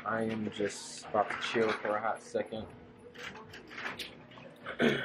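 A young man chatting casually to a handheld camera for his vlog, in a relaxed way. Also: on the low side, a little slow, very noisy. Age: young adult. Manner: laid-back, talks to camera, commands dogs firmly. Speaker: vlogger. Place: car park outdoors, then house indoors. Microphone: handheld camera at arm's length.

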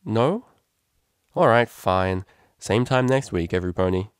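A man's voice: fairly low pitch, lively intonation, a little slow, smooth, no echo, studio quality. A man voicing a weary, resigned male pony tutor addressing his class.

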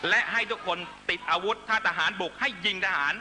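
A middle-aged man giving an impassioned political rally speech in emphatic, short measured phrases into a stage microphone. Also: high voice, loud music behind the voice. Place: large night-time outdoor rally stage. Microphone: stand microphone on stage. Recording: noisy live rally public-address broadcast with hum.

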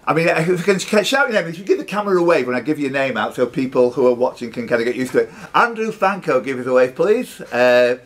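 A man's voice: mid-range voice, lively intonation, fast talker, hardly any pauses. A man in his fifties hosting a live pub quiz, reading out questions with jokey, teasing banter. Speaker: quiz master. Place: social club bar room.

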